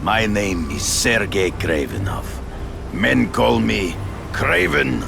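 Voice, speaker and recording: An actor playing a proud, menacing Russian hunter in a video game, speaking formally and deliberately with a Russian accent. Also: male voice, on the low side, animated, gravelly, background noise, heavily acted. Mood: proud, challenging.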